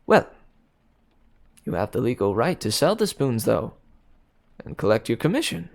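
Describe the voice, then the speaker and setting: A man's voice: mid-range voice, expressive, takes it slowly, pitched above the performer's own voice. Man reading a hearty, good-humored young groom. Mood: brisk.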